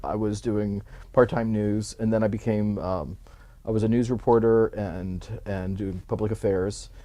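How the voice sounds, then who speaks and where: A man born in Cuba, a publicist, relaxed and rambling as he tells his life story in an interview. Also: lowish voice, unhurried. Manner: relaxed, rambling, many restarts and fillers. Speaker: Hollywood publicist, guest.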